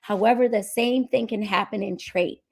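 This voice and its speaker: A woman with a cold and a hoarse voice, giving an explanatory medical talk at a seminar and repeating key points. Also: mid-range voice, fairly even intonation, moderate pace. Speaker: medical speaker.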